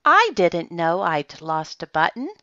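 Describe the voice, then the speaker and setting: Lady doing a sweet naive little boy teddy bear from a storybook, slow and wide-eyed, dull recording. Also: on the low side, sing-song, sharp tone, no room sound, exaggerated voice. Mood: earnest. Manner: earnest, wondering. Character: small male toy bear, innocent and wonder-struck. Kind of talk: storybook character voice.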